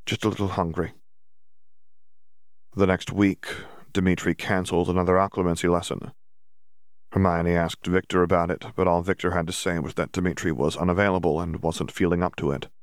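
Male audiobook narrator, low-pitched, very dry close sound, scripted fiction. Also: flat delivery, brisk, smooth, dry recording.

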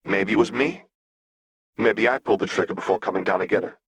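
A man's voice: fairly low pitch, brisk, fairly bright tone, husky, dry recording. A man voicing a defiant, cocky young male rebel in an audiobook, with taunting, slangy delivery. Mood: evasive, cocky.

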